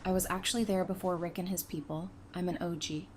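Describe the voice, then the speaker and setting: A woman's voice: lowish voice, fairly even intonation, fast, slight background noise, natural voice. A woman playing Talissa, a former psychiatrist who talks in dry, teasing banter in a fan audio drama.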